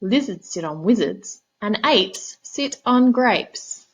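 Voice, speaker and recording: A woman voicing a bossy, smug male cat in a children's storybook, instructing in emphatic, drawn-out rhymes. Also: mid-range voice, animated, husky, heavily acted, low-quality recording. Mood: bossy, impatient.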